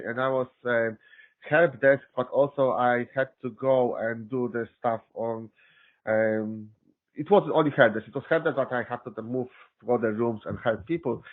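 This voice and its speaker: A man with a Polish accent, a systems engineer chatting as an interview guest, halting with many restarts and fillers. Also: mid-range voice. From Poland. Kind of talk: casual interview chat. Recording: tinny phone-like line.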